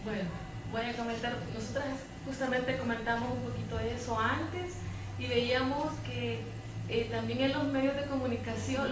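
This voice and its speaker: A woman, commenting in a public discussion and often checking for agreement with tag questions. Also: fairly high pitch. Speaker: panel participant in a public debate. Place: indoor public debate, roomy, picked up from afar. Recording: echoey room, distant mic, faint noise.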